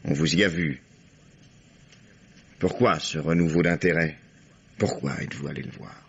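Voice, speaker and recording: Police commissioner, low voice, dry and patient, probing, radio mystery drama, muffled noisy old recording. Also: male voice, normal speed, creaky.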